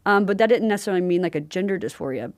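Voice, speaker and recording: Female podcast host, slightly low voice, informal chat, dry studio-tight sound. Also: lively intonation, very fast, dry recording. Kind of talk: casual chat.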